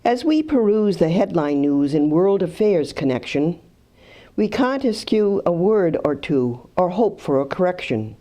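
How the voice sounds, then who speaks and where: A woman's voice: fairly low pitch, sing-song, unhurried, dark tone. A woman reading rhyming verse aloud. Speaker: reader of poems.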